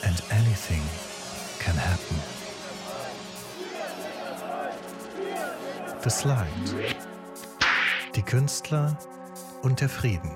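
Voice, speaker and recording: A man's voice: fairly low pitch, lively intonation, slow. A man playing a radio host who presents a show and interviews guests in a radio drama. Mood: announcing. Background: loud music.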